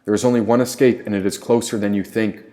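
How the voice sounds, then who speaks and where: A man's voice: fairly low pitch, steady tone, quick pace, mellow, natural voice. A man voicing a mysterious male opossum warrior in an audiobook, solemn and cryptic in manner. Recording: echoey, long reverb.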